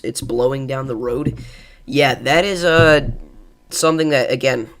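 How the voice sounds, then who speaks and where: A man's voice: medium pitch, vocal fry, a bit bright. Congested young man hosting live weather. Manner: casual, rambling with fillers. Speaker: weather stream host. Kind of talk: live weather coverage, reading chat.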